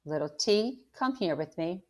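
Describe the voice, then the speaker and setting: A woman reading aloud and voicing a tired, stern but gentle working father, who talks slowly and calmly. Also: fairly low pitch. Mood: weary, serious.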